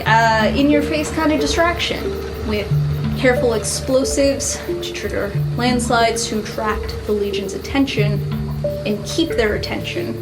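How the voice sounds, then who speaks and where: A woman game master narrating an improvised tabletop story in a measured, explanatory way. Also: on the high side. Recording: music bed behind voice. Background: background music.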